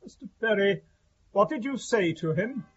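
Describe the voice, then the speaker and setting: A prison official presiding over an execution in a radio drama. His voice is high and breathy, his orders formal and terse. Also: animated, moderate pace, dull audio. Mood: formal, tense. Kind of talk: broadcast radio drama acting.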